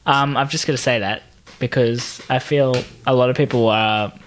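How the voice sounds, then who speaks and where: A man co-hosting a podcast in casual banter, excitable and sweary, often mock-indignant. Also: mid-range voice, moderate pace, a little hiss or hum. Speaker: podcast co-host.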